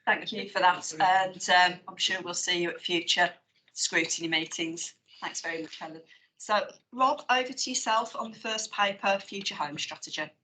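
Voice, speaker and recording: A woman with a West Midlands English accent chairs a formal committee meeting. Her voice is bright and fairly low for a woman. She moves through agenda items in a measured, procedural way, with frequent pauses and fillers. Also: poor audio.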